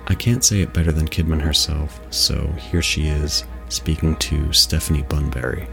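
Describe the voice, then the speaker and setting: Really deep guy doing scripted podcast commentary, formal and articulate, soft music under him. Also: fairly even intonation, normal speed, gravelly. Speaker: podcast host.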